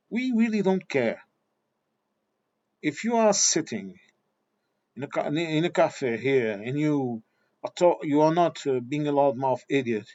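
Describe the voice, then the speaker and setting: A man's voice: fairly high pitch, darkish tone, highs cut off. A man with a Portuguese accent, Portuguese his first language, delivering a blunt, combative monologue straight at his viewers. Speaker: video commentator. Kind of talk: ranting monologue.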